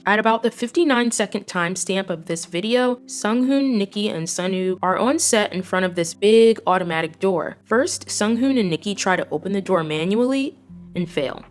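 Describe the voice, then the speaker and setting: A young woman reading a scripted commentary in a measured, earnest way. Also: mid-range voice. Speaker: YouTube commentator. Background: faint background music.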